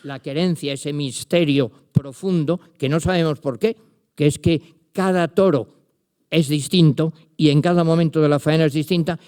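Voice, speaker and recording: Older spanish guy lecturing a crowd, warm mellow tone, talks right at the listeners. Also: a little high. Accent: Spanish from Spain. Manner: professorial, addresses listeners directly, recites poetry with emphasis. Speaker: literature professor presenting a book. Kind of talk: lecture to an audience.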